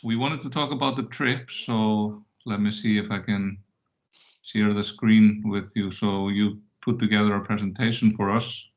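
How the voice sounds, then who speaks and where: A man's voice: lowish voice, fairly even intonation, normal speed. A man interviewing a guest informally over a video call. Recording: dull, lo-fi online call audio.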